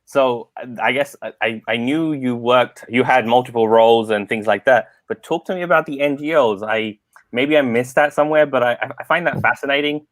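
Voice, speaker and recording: A man's voice: mid-range voice, fairly quick, no room sound. Guy hosting a live show, friendly, stumbles a bit, dry sound. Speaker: show host.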